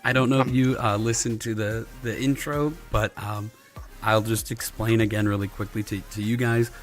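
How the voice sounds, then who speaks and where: A man hosting a casual livestream, his voice slightly scratchy and subdued as he recovers from losing it, hesitant and often clearing his throat. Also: lowish voice, fairly even intonation, moderate pace. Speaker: streamer, host. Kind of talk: casual livestream chat with viewers and fellow players. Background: music.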